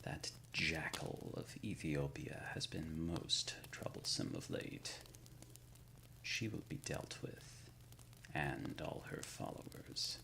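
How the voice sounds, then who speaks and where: A man playing an ancient, solemn undead leader of a secret order in an ASMR roleplay, speaking in a breathy, gravelly whisper with formal, archaic diction. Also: low-pitched, noisy background, full character voice. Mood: quietly menacing.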